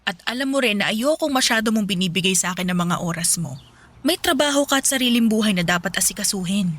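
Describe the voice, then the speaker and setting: A woman's voice: mid-range voice, animated, fast talker, a bit bright. A woman acting a weary, evasive girlfriend in a Filipino radio drama, speaking with firm exasperation. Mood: evasive, tired. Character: weary, evasive young woman pulling away from her boyfriend.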